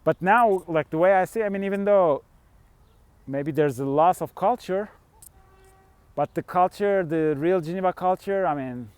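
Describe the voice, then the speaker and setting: Chatty middle-aged man, slightly high voice. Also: normal speed, darkish tone, clean tone, no echo. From Switzerland. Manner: rambling, candid, with chuckles. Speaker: fasting coach and vlogger. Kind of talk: casual vlog monologue.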